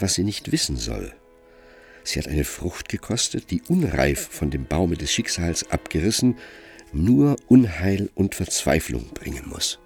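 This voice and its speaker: Male narrator for literary drama: very low, creaky voice, grave measured reading, music underneath.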